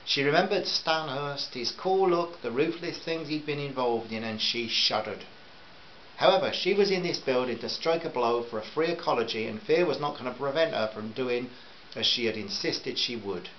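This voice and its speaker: A man's voice: mid-range voice, normal speed, dull audio, slight background noise. A man reading his own novel aloud as a serialized audiobook, in a plain storytelling manner. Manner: plain storytelling, warm sign-off to listeners.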